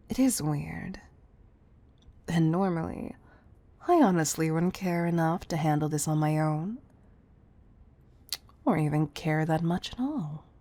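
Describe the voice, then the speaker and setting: A woman playing a commanding but fond CEO in an intimate roleplay audio, speaking softly and deliberately to the listener, often near a whisper. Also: low voice, heavily acted. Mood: candid, intimate. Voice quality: breathy, soft. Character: commanding, tough-loving female CEO, fond underneath.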